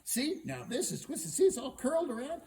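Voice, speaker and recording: A man voicing a cheerful, eager, simple young lad in a folk tale, earnest and excitable. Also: a little high, fairly quick, a little hiss or hum, voice pushed up. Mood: gleeful. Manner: excitable, earnest, boastful of odd finds.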